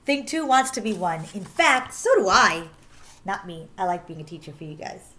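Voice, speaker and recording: A woman teacher reading a rhyming children's picture book aloud in an animated, sing-song way, stressing key words. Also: medium pitch, normal speed.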